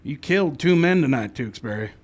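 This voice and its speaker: An actor playing a steady, firm frontier marshal who also narrates, in old-time radio western style. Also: male voice, medium pitch, brisk, close to an everyday voice. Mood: firm, questioning. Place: live stage show in a park.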